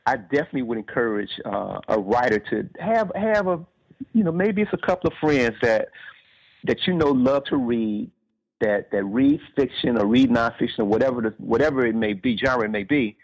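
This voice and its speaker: Man in a halting, dull-sounding interview. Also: mid-range voice, animated. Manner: halting, repeats words while thinking. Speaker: author being interviewed. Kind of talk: conversational interview, telling anecdotes.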